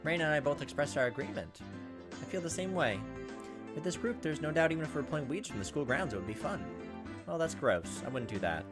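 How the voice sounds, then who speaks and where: Casual gameplay narrator, medium-pitched, reads story text aloud with offhand asides, game music underneath. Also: expressive, brisk. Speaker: let's player reading a visual novel aloud.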